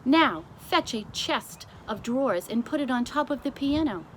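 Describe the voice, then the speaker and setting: A woman's voice: high voice, lively intonation, normal speed, a bit bright, pitched above the performer's own voice. A woman voicing a composed, commanding male royal butler in a children's storybook, giving formal, precise orders. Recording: clearly noisy amateur recording.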